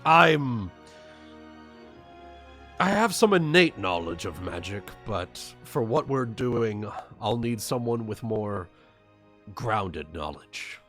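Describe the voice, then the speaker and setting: Man playing a slow, earnest, weary blacksmith. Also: fairly low pitch, big pitch swings, fairly bright tone, faint music behind, exaggerated voice. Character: weary, earnest male blacksmith with arcane knowledge.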